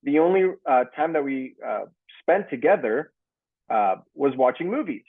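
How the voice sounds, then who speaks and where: A man's voice: medium pitch, animated, moderate pace, slightly rough, narrow, tinny audio. Young Canadian man on a thin video call. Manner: loose, many fillers and restarts. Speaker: actor guest. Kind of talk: casual interview answers. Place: home in Toronto.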